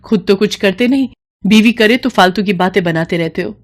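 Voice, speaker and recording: A woman's voice: mid-range voice, a little fast, natural voice. A woman puts on a hard-working village wife from a story melodrama. She sounds plaintive and aggrieved, worn down but still defiant. Faint noise sits behind the voice. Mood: indignant. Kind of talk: melodramatic Hindi audio story acting.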